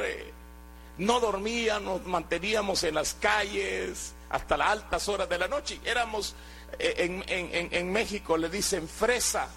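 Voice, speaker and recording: A man's voice: high voice, gravelly, a bit bright, slight background noise, poor audio. A man from Guatemala, giving an earnest sermon testimony and often restarting his words. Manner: earnest, exhorting, with hesitant restarts.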